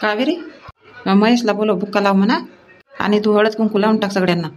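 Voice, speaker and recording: A woman playing an outspoken, practical village sister-in-law in an animated family comedy. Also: medium pitch, fairly quick, slight background noise, natural voice. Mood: insistent.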